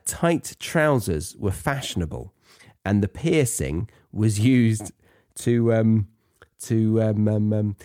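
Animated, low-voiced British man. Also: no echo, clean studio sound. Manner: playful, tongue-in-cheek asides. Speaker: podcast host teaching English to non-native learners. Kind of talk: chatty solo podcast monologue. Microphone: close podcast microphone in a dry room.

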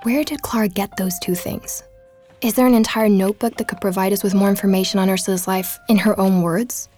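A woman playing an earnest investigative journalist, narrating a podcast-style audio drama in a measured, inquisitive way. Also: medium pitch, darkish tone, natural voice. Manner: inquisitive, measured storytelling with rhetorical questions.

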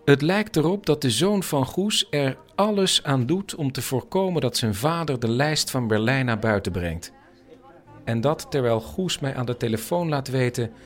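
A man with a medium-pitched voice narrates a radio documentary. His delivery is scripted storytelling, laid over faint music.

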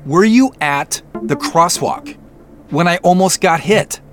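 A man's voice: high-pitched, animated, slow pace, faint music behind. An actor playing an uneasy, suspicious man, hesitant and guarded, in a naturalistic audio drama. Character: Joseph, uneasy suspicious man on a phone call. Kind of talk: naturalistic audio drama acting with first-person narration.

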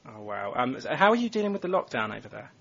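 Male podcast host, mid-pitched husky voice, casual football talk and interviewing, lo-fi sound. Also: very animated, quick pace, a bit bright. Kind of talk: casual sports discussion and interviewing. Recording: dull, lo-fi voice recording.

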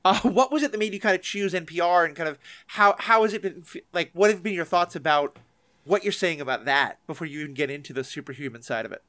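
Higher-voiced man hosting a casual podcast. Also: quick pace, sharp tone, no room sound. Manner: enthusiastic, rambling questions. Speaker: podcast host interviewing guests.

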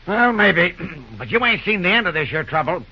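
Male actor as cautious country railroad hand, raspy, high voice, rustic dialect, vintage radio play. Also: very animated, normal speed, full character voice. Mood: worried, cautioning. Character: wary, worried backwoods railroad hand. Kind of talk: old-time radio drama acting. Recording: muffled old-time radio recording.

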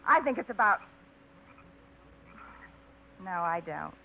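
A woman's voice: on the low side, big pitch swings, slow, creaky, full character voice. An actress playing a young woman torn by a suitor's proposal, in 1950s radio drama style, breathless and scolding herself. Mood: flustered. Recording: muffled 1950s radio broadcast.

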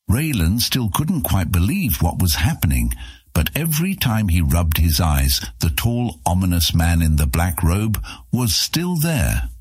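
A man's voice: sits low, darkish tone, breathy edge, no echo, studio quality. A man narrating scripted fantasy fiction as an audiobook reader.

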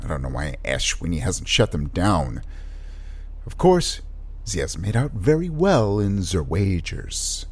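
An actor playing a fussy, awkward but kind scientist in an audio drama, with a husky, halting, precise delivery. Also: male voice, low voice, big pitch swings, darkish tone, exaggerated voice. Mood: fussy, absorbed. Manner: halting, hesitant, mild-mannered and precise. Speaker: scientist and doctor.

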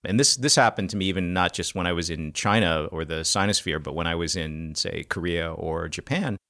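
A man's voice: deep voice, brisk, pushed and bright. A man co-hosting a podcast in casual conversation, chatty and self-mocking. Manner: chatty, laughs at himself.

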